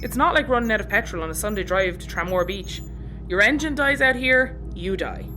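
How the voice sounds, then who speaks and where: A woman's voice: mid-range voice, lively intonation, a little fast, close to an everyday voice. An actress playing a sarcastic, sceptical Irish spaceship crewwoman in a sci-fi audio comedy. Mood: worried, blunt. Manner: sarcastic, blunt. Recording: music under the dialogue.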